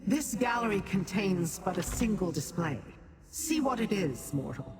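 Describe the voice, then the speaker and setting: Condescending otherworldly guide, female, medium pitch, formal commanding delivery, fantasy game, background music. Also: big pitch swings, unhurried. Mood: curious, imperious. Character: lofty otherworldly being who patronizes mortal companions. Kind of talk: fantasy video game acting.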